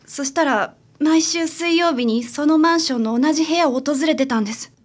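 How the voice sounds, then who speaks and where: A woman's voice: high-pitched, normal speed, close to an everyday voice. A woman playing a troubled, sincere grown-up daughter who is a client in a radio drama. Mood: earnest confiding. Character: troubled, sincere adult daughter seeking a detective's help.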